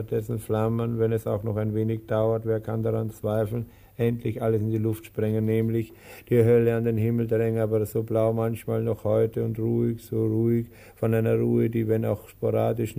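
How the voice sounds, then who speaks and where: An actor playing a servant who recites a senseless pseudo-learned monologue in a creaky, repetitive, halting way in a radio play. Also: male voice, lowish voice, warm tone. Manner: halting, repetitive, droning recitation that cannot be interrupted.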